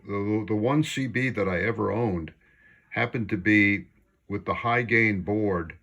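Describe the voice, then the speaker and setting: A man's voice: fairly low pitch, pushed and bright. A man chatting casually as an amateur radio operator, enthusiastic and appreciative in manner. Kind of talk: casual ham radio conversation.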